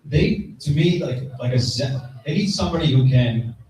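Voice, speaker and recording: A man's voice: medium pitch, lively intonation, moderate pace, a little mellow. A man gives blunt sports opinions in short, clipped phrases. He is heard from some distance in a very reverberant live venue, speaking conversationally as part of a panel. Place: live stage at a fan convention. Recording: very echoey hall, distant mic.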